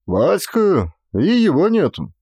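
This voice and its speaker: A man's voice: sits high, wildly swooping pitch, takes it slowly, dark tone, husky, no echo, clean studio sound, pitched above the performer's own voice, full character voice. A man voicing a plain-spoken village coachman in an audiobook, telling things in a folksy, rustic way. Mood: matter-of-fact, rueful.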